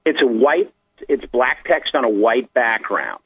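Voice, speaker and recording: A man's voice: medium pitch, expressive, a little slow, slightly rough. A man giving a conversational technical explanation, step by step, as a guest. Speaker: guest explaining digital documents. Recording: muffled, tinny phone line.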